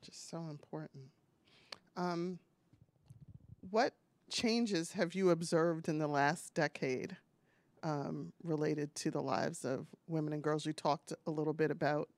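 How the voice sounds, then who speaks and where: A woman's voice: low-pitched, steady tone, measured pace, darkish tone, dry recording. A woman moderating a panel discussion, speaking conversationally in a candid, pointed way.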